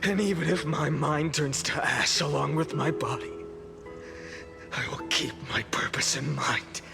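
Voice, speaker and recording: Burdened young game hero, gravelly and breathy, super slow, music swelling loud behind. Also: male voice, on the high side. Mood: grimly resolute. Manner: halting, intense, heavy delivery. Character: anguished young male hero, burdened and grimly resolute. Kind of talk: dramatic video game voice acting. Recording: studio voice over loud music.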